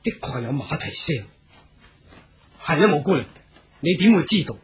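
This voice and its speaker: An actor playing an earnest, proud young wandering swordsman in a radio drama, speaking in a theatrical, deliberate way. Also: a little high, big pitch swings, slightly rough, heavily acted. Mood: surprised, alert. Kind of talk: Cantonese radio drama acting.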